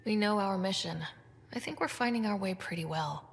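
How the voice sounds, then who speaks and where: An actress playing a steady, supportive, blunt young woman space explorer in a video game, with a husky voice. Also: medium pitch, fairly quick, big-room sound. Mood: reassuring. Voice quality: husky, creaky. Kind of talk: video game cutscene acting.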